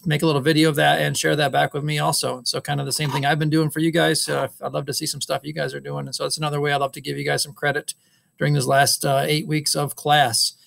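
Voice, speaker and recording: Middle-aged male woodshop teacher, halting webcam update to students, slightly high, gravelly voice. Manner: halting, many ums and uhs. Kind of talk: casual recorded class update. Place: school wood shop. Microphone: webcam on a screen recording.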